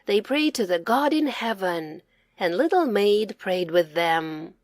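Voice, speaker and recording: A woman reading a children's story aloud as a narrator, in a deliberate, expressive way. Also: mid-range voice, dry recording, clean studio sound. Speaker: storybook reader.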